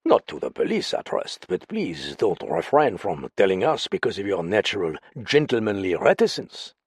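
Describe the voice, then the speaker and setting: A male reader plays a suave storybook detective. The delivery is measured and teasing, with wry pauses, a husky grain and lively swings in pitch. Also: dry recording, studio quality, exaggerated voice. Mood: soothing, teasing. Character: suave male detective, soothing, slyly amused.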